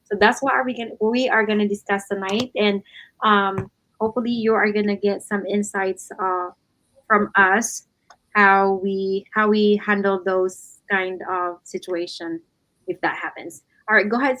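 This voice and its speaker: A woman's voice: medium pitch, fairly even intonation, normal speed, fairly bright tone, rough recording. A woman from the Philippines hosts a casual livestream. She talks in a loose, chatty way, leaning on "you know" and "right" between thoughts. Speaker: livestream co-host.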